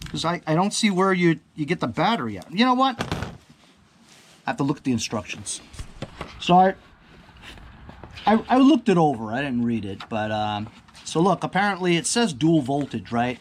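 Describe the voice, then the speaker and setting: A man's voice: a little high, very animated, moderate pace, long pauses. Rambling man reviewer with background noise. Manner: rambling, thinking aloud, often checking in with the viewer. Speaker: product reviewer. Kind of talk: casual unscripted unboxing chat. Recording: clear background ambient noise.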